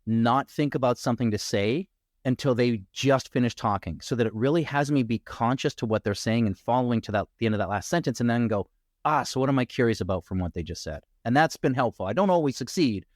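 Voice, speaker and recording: A man who works as a coach, speaking as a guest in a casual podcast conversation in a reflective, measured way. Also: medium pitch, animated, no room sound, studio quality.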